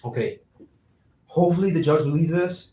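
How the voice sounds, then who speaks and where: Casting: male actor as joking, cheeky out-of-work guy for a stage play reading, slightly high voice, roomy distant sound. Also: normal speed, warm tone, a little hiss or hum, lightly acted. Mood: anxious, earnest. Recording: echoey room, far from mic.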